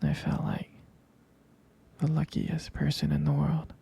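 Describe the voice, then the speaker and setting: A man playing a devoted, smitten boyfriend in an intimate ASMR roleplay, speaking in a breathy, husky whisper, hesitant and tenderly repeating his phrases. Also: a little high, huge pitch swings, a little slow, dark tone, exaggerated voice. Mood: tender, wistful.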